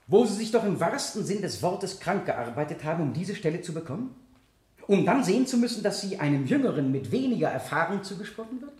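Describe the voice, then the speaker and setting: A man's voice: sits high, big pitch swings, fairly quick, a little mellow. An actor playing an insistent male prosecutor, questioning in a pointed, theatrical way in a German radio play. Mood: accusing, insistent. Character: insistent male prosecutor cross-examining a defendant. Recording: echoey studio courtroom, older radio production.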